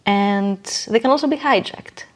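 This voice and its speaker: A woman's voice: mid-range voice, moderate pace. A woman speaking as a podcast guest in a reflective conversation, explaining her views earnestly. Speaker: podcast guest, business coach.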